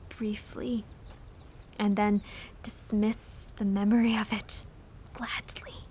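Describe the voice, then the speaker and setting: An actress playing a sorrowful, gentle young woman in an audio drama, breathy, grave and often half-whispering. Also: mid-range voice, slight background noise. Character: Belle, sorrowful, gentle young woman leaving her betrothed.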